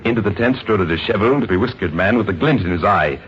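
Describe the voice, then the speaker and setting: A man narrating a 1950s radio drama in a grave, deliberate, suspenseful scripted style. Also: fairly low pitch, animated, a little hiss or hum. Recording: muffled vintage radio recording. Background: dramatic music.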